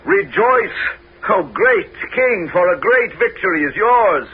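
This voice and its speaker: An actor playing a crafty Greek hero in a 1970s radio drama, speaking in a smooth, persuasive and scheming way. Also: male voice, high-pitched, animated, slow pace, heavily acted. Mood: flattering. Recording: dull radio broadcast with music.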